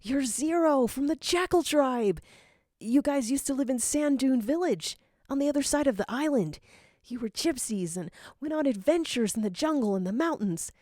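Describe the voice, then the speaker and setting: A woman's voice: sits high, moderate pace, dry recording, clean studio sound, voice pushed up. A woman voicing an eager, starstruck young male rookie cadet in a gushing fan fiction audio drama. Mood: starstruck, excited. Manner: gushing, excitable.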